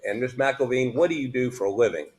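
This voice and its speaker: A man questioning a witness in court, halting and folksy with many restarts. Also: mid-range voice, a little mellow. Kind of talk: courtroom examination.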